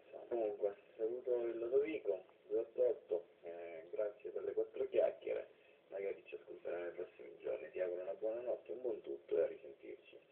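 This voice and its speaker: A low, creaky-voiced man chats casually as a radio operator. His voice comes over a narrow, phone-like transmission. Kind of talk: casual radio sign-off chat.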